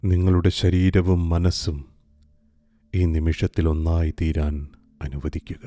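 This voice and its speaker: A man guiding a meditation in a calm, soft, hushed way. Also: deep voice, measured pace. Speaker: meditation guide. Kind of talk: slow guided meditation. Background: soft music.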